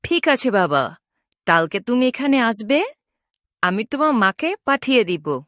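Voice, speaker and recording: A woman's voice: a little high, very animated, measured pace, fairly bright tone, no room sound, clean studio sound. A woman voicing a protective, stern mother ghost in a cartoon, speaking in a firm, commanding manner. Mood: reassuring. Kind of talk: Bengali cartoon voice acting.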